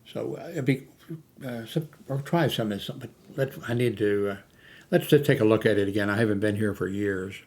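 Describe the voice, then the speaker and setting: A man's voice: fairly low pitch, a little fast, a little mellow. A man giving a casual, unscripted talk as an amateur radio hobbyist, rambling and hesitant, often losing his thread. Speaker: amateur radio hobbyist hosting a video channel.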